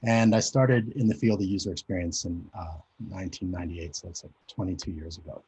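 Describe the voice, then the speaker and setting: A man's voice: sits low, steady tone, brisk, darkish tone, husky, low-quality recording. A man in his forties, raised in Japan, giving a hesitant, conversational presentation over a video call with many fillers. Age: 40s. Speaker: conference speaker. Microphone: video call mic.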